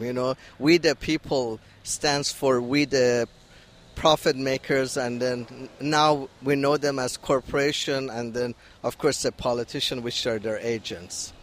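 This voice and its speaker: A man's voice: medium pitch, slight background noise. A young man from Iran with an Iranian accent, speaking as an activist at an outdoor protest, talking in a hesitant way with many restarts and fillers into a handheld mic. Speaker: activist at a rally. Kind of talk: street interview. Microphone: handheld interviewer mic.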